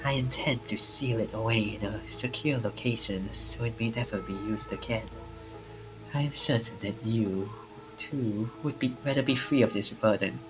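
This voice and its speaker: A man voicing a formal, politely stern game character in a stiff, measured manner. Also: lowish voice, close to an everyday voice, rough recording. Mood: measured, grave. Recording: music under the voice.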